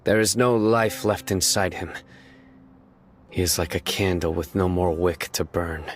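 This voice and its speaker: An actor playing a stern young male judge in a video game, speaking in a measured, composed way. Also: low-pitched, fairly even intonation, a little hiss or hum. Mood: somber. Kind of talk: video game cutscene voice acting.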